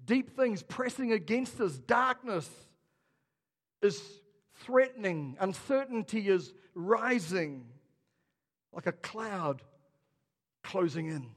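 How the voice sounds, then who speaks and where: A man preaches to his congregation with a high, lifted voice, rousing them and calling out questions as he goes. The sound carries the long reverb of a large church hall. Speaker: pastor. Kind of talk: sermon.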